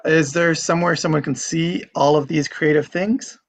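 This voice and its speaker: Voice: mid-range voice, steady tone, normal speed. A host casually moderating a group, thanking people and introducing the next speaker.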